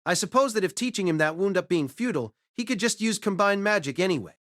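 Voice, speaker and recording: A man's voice: high-pitched, expressive, fast, a bit bright, no echo, clean studio sound. A man playing a wry, self-deprecating reincarnated boy mage, narrating his own life in a conversational audiobook style. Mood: wry narration. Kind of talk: first-person audiobook narration with acted dialogue.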